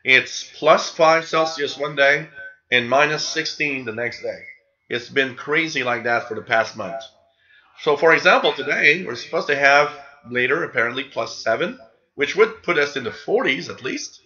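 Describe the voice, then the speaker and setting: A man's voice: medium pitch, animated, normal speed, pushed and bright, dull audio. A man with a Quebec French accent hosting a live radio hobby show, chatting casually and reading out viewers' comments. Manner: casual, rambling, reads chat names aloud.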